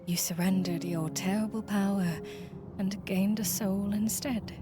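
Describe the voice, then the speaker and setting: A woman playing an earnest, fearful mortal friend in a fantasy game, with dramatic, breathy phrasing and formal, archaic wording. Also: medium pitch, steady tone, unhurried, noisy background, lightly acted. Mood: fond, tender. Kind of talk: dramatic visual novel voice acting. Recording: music playing behind the voice.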